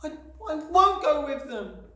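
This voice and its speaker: A man's voice: very high voice, lively intonation, normal speed, pitched above the performer's own voice. A man voicing a small, passionate schoolgirl from a storybook, exclaiming and pleading urgently. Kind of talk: storybook character voice. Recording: roomy, distant microphone.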